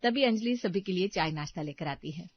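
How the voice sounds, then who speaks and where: A woman's voice: on the low side, animated, a little fast, slightly rough, dry recording, lo-fi sound. A woman narrating a scripted family story as a storyteller.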